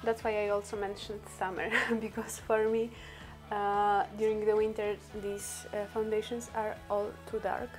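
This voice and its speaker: A woman's voice: mid-range voice, flat delivery, moderate pace, music in the background. A woman whose first language is Polish, chatting through a makeup tutorial with many fillers and laughs. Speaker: beauty vlogger.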